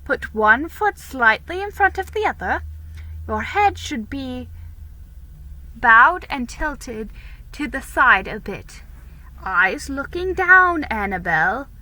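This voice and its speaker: A woman voicing a prim colonial teacher of manners in a storybook, giving precise, measured instruction. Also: high voice, very animated, pushed and bright, exaggerated voice, low-quality recording. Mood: patient, correcting.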